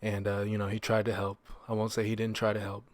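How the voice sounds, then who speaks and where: A man's voice: on the low side, completely flat delivery, fast talker, a little mellow, no room sound. A man hosting a solo podcast in a casual, conversational way, repeating phrases for emphasis and posing rhetorical questions. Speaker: podcast host.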